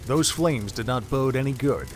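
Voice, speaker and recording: A man's voice: mid-range voice, animated, normal speed, faint music behind. A man giving solemn, literary scripted narration for an audio drama.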